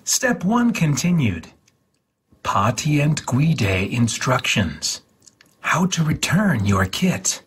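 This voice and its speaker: A man with a very husky voice reads instructional text aloud. His pace is slow and deliberate, and his pitch swings widely while the delivery stays deadpan, with oddly stretched words. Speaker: host of a gaming livestream channel.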